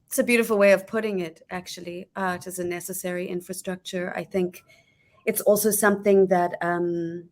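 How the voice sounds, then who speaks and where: A woman's voice: lowish voice, steady tone, moderate pace. A middle-aged woman with a South African accent, speaking thoughtfully as a panelist in a discussion. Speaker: panelist, scholar. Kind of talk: panel discussion, considered talk.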